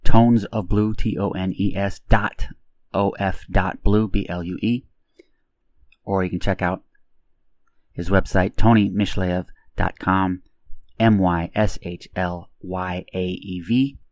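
A man's voice: sits low, steady tone, slow, a little mellow, no room sound. A man hosting an interview show, introducing and questioning his guest in an enthusiastic manner. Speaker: podcast host interviewing a guest.

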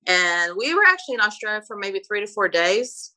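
A woman's voice: medium pitch, very animated, fairly quick, sharp tone, poor audio. A Brazilian woman whose first language is Portuguese, a private flight attendant telling stories in a casual interview, hesitant with many fillers and restarts.

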